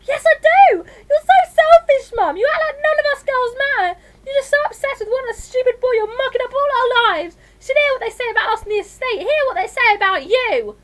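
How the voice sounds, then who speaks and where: A woman voicing a defiant, lovesick teenage girl in an audiobook, indignant and wailing, often shouting. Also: high voice, animated, slow, few pauses, pitched above the performer's own voice, rough recording. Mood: furious. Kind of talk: audiobook character voice.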